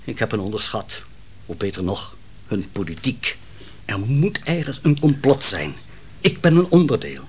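A man's voice: on the low side, big pitch swings, normal speed, slight background noise. A man acting a proud, troubled royal counsellor defending his wife in a Dutch radio play, formal and theatrical. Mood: bitter, alarmed.

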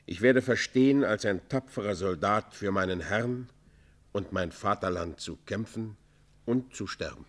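A repentant robber in a classical radio play. His voice sits low and his speech is formal and declamatory. He pleads for mercy with stubborn pride, stressing his words emphatically. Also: measured pace. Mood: proud, bitter. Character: proud, remorseful outlaw robber pleading for mercy. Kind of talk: German radio play, classical literary acting.

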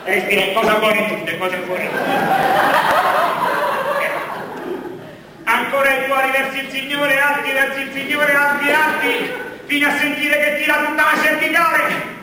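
Shrill, frantic priest in echoing theatre. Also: male voice, steady tone, moderate pace, long pauses. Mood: commanding, frantic. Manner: insistent, repetitive mock-liturgical chanting. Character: frantic priest barking absurd mass and wedding rites. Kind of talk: theatrical stage comedy monologue. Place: theatre stage before laughing audience. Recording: echoey theatre stage, distant mic, noisy.

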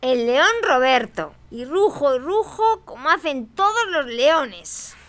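Woman acting tiny, proud lion cub, squeaky-high. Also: animated, takes it slowly, fairly bright tone, heavily acted. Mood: stubborn, proud. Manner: childlike, stubbornly insistent. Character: small, proud, indignant male lion cub. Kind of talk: radio play adapted from puppet show.

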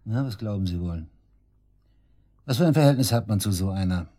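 Radio crime drama: cornered suspect, low and mellow-dark, crude slang, scornful, naturalistic. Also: male voice, expressive, brisk. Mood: scornful, sarcastic. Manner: sarcastic, crude, defiant, slangy. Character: brash, sneering male murder suspect under police questioning.

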